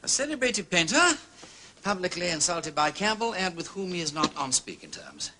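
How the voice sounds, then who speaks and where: An actor playing a jaunty, inquisitive English aristocrat sleuth, with upper-class vowels and playful, exclamatory period phrasing. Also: male voice, high voice, very animated, moderate pace, background noise. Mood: musing. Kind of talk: period radio drama acting.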